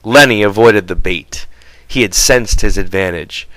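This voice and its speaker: A man's voice: fairly low pitch, measured pace, fairly bright tone, dry recording, low-quality recording. A man reading scripted literary narration as an audiobook reader.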